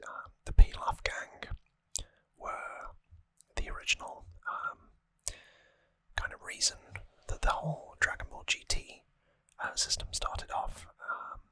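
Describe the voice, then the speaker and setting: ASMR host: fully whispered, bright and breathy delivery, musing trivia commentary, close dry mic. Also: takes it slowly, no echo. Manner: musing, conversational trivia talk. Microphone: close microphone, dry sound.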